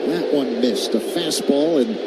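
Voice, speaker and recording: A man's voice: medium pitch, unhurried, over a noisy crowd. A man calling live baseball play-by-play on the radio in clipped phrases that build with the action. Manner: clipped phrases, builds to shouts on big plays. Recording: loud constant stadium noise behind.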